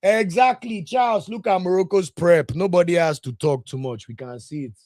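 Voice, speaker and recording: A man's voice: high-pitched, normal speed, a little mellow. A young man with a Nigerian English accent hosts a football talk show, reads viewer comments aloud and rants passionately, sometimes slipping into pidgin. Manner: passionate, ranting, switches into pidgin. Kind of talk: casual commentary reacting to live comments.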